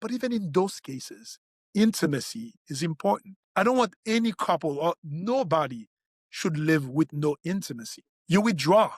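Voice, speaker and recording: Higher-voiced man with a non-native lilt. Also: expressive, normal speed, husky, dry recording, clean studio sound. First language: French or Creole. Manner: earnest, explanatory, with frequent restarts. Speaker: physician podcast host. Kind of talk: health podcast conversation.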